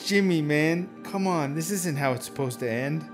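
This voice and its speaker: A man's voice: a little high, normal speed, lightly acted. Guy acting uneasy pleading dude in an audio drama, slangy and hesitant, bit of music behind. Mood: distraught. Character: Sanchez, pleading, uneasy young man in trouble. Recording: music under the voice.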